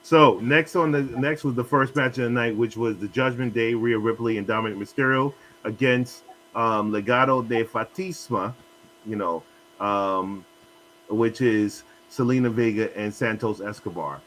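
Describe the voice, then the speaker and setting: A man's voice: mid-range voice, normal speed, fairly bright tone, rough recording. A man hosting a casual wrestling podcast, recapping matches in a rambling way full of fillers. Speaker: podcast host. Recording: faint hum and noise.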